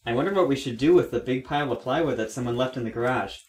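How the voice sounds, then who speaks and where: Easygoing mild dad, a man acting, radio drama, medium pitch, echoey room. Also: fast, background noise, lightly acted. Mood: mild, thoughtful. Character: easygoing, mild father of young children. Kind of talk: amateur radio play acting. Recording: roomy, echoey sound.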